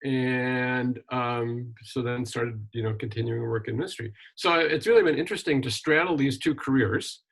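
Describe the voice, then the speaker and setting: Older guy from wisconsin, retired minister, chatting through his life story, lots of ums, mid-pitched voice. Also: moderate pace, creaky, hardly any pauses, slightly rough, low-quality recording. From Wisconsin, USA. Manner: relaxed storytelling with frequent ums and restarts. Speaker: interview guest, retired minister and journalist. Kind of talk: casual conversational interview.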